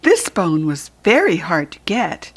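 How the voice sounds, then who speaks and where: A woman's voice: fairly low pitch, sing-song, a little slow, slightly rough, heavily acted. A woman with an American accent voicing sweet, eager young animals in a picture book, earnest and singsong. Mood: eager, proud.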